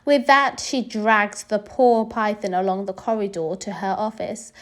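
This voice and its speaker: A woman reading a children's story aloud in an expressive, deliberate storytelling manner. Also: medium pitch.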